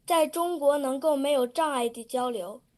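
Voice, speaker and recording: A woman reading a prepared public statement in steady recited sentences. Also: high voice, moderate pace. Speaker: student public speaker.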